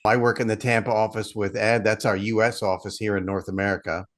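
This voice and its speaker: Low-voiced middle-aged man explaining. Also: a little fast. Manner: steady, explanatory, self-deprecating. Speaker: partnerships head, guest. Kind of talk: casual interview chat.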